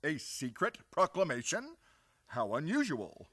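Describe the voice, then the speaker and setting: A man's voice: a little high, huge pitch swings, measured pace, bright, forceful tone, husky, exaggerated voice. An actor playing a blustering, earnest man who believes he is the President, declaiming in old-time radio comedy style. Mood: pompous, excited. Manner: pompous, declamatory.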